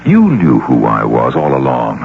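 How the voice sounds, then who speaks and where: Deep, gravelly, earnest American businessman suitor. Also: wildly swooping pitch, full character voice. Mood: confronting. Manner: halting, earnest, emphatic. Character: earnest, lovestruck wealthy businessman, awkward yet insistent suitor. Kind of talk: old-time radio drama acting. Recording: dull hissy radio drama recording with hum.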